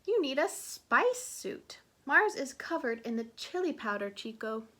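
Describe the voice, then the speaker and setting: A woman's voice: high-pitched, big pitch swings, measured pace, fairly bright tone, heavily acted. A woman voicing a small, carefree male chihuahua with playful, slangy talk in a storybook. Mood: amused.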